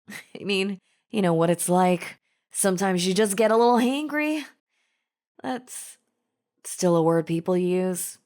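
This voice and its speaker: A woman's voice: medium pitch, takes it slowly, pauses often, darkish tone, close to an everyday voice. A woman playing a chatty, falsely friendly zombie in an audio roleplay, talking casually and persuasively straight to the listener. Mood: nervous, placating. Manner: casual, chummy, persuasive, conversational. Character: chatty female zombie, falsely friendly, coaxing flesh-eater. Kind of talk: scripted audio roleplay, addressing the listener directly.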